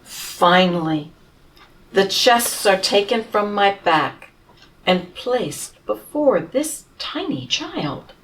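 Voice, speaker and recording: A woman reading a children's storybook in the voice of a humble, tired male camel who tells his own tale slowly and tenderly. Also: medium pitch, distant mic, a little hiss or hum, low-quality recording. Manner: slow, tender storybook telling with lively rises.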